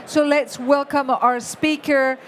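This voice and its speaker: A woman in her forties hosts from a stage in a big expo hall, her voice high and bright in pitch. She rouses the audience with lively energy. Faint crowd noise hums behind her. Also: slow. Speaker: stage host. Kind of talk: event hosting.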